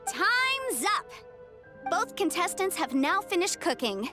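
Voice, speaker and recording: A woman's voice: very high-pitched, big pitch swings, takes it slowly, exaggerated voice. A woman voicing a spirited young female contest host in a video game, announcing over music. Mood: official, announcing. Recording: music behind the voice.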